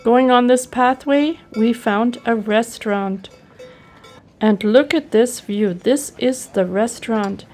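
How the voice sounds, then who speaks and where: A woman's voice: on the high side, slow pace, darkish tone, soft background music. A woman narrating her personal travel vlog in an enthusiastic, appreciative way. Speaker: travel vlogger.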